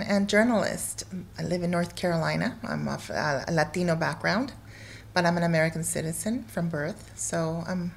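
A woman's voice: on the low side, vocal fry, fairly bright tone. A woman from Guatemala, a cookbook author, speaking conversationally and heartfelt as an interview guest.

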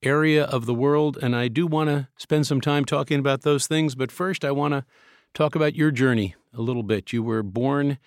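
Measured man hosting a podcast. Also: medium pitch, clean tone, no room sound, studio quality. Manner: measured, formal introductions. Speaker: podcast host. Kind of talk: interview podcast.

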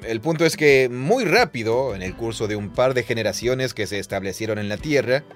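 A man dubbing a podcast co-host, explaining things with quick affirmations and light laughs, recorded in a studio. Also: mid-range voice, expressive, faint music behind, natural voice. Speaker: podcast co-host, Spanish dub. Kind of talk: dubbed conversational podcast.

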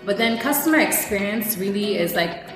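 A woman, a consultant interviewed as a guest, explaining her points clearly and evenly. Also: medium pitch, lively intonation, normal speed. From Ghana. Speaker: guest, customer experience consultant. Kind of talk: conversational interview. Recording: echoey room with music underneath.

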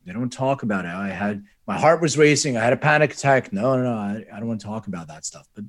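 A man's voice: on the low side, fast. A man co-hosting a podcast, talking casually and jokingly, acting out little quotes as he goes. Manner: jokey, laughs often, animated retellings. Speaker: podcast co-host.

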